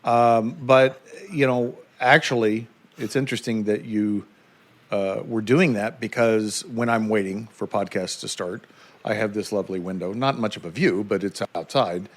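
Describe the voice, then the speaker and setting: Halting, thoughtful male podcast host. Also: on the low side, fairly even intonation. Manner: halting, thoughtful, many restarts. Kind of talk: conversational interview.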